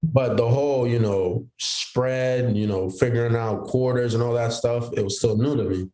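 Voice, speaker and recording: A man's voice: mid-range voice, moderate pace, warm tone, reverberant, rough recording. A young man born in Haiti, a football player guest on a podcast, telling his story in an easygoing way. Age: young adult. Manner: easygoing, storytelling with quoted inner thoughts. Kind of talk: casual interview chat.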